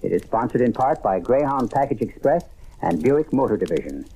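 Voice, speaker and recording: A man hosting a radio mystery series, giving grave, theatrical scripted narration with measured phrasing. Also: mid-range voice, big pitch swings, dark tone, a little hiss or hum, rough recording. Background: music.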